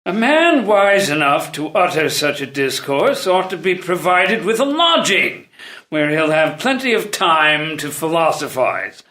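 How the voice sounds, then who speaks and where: Slow, bright-voiced stern royal jailer by a man. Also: on the high side, sing-song, heavily acted. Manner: measured, formal, theatrical. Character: stern, dutiful old royal tutor and jailer. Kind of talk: unrehearsed play reading. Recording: roomy, echoey live room.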